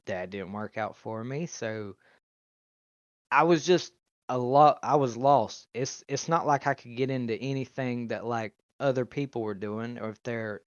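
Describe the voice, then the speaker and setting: A young man telling his story in a casual interview over a video call, loud and animated. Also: medium pitch, no room sound. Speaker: YouTuber, interviewee. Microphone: video call mic. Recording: muffled, dry video call audio.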